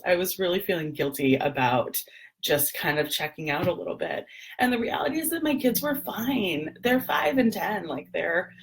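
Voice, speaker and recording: A woman, hoarse and gravelly, chatting casually on a live video with lots of ums and throat clearing. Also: fairly low pitch. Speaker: doula and lactation educator, livestream host.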